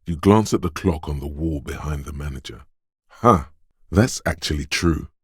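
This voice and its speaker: An extremely deep-voiced quick-witted inner voice of a detective's instincts in a video game. He narrates in the second person, knowing and measured, with a dramatic touch. The sound is very close and dry. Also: expressive, husky, no room sound, heavily acted. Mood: observant, knowing. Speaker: voice actor.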